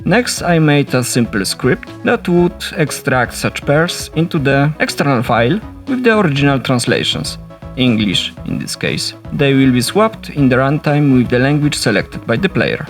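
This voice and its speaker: A man with a Polish accent narrates a game development log in a steady, explanatory voice at a mid pitch. Soft music plays faintly behind him. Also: moderate pace. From Poland. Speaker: solo indie game developer. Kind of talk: scripted devlog narration.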